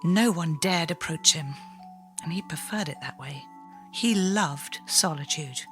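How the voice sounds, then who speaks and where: A woman narrating a children's story with theatrical, deliberate, suspenseful phrasing. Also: low-pitched, faint music behind.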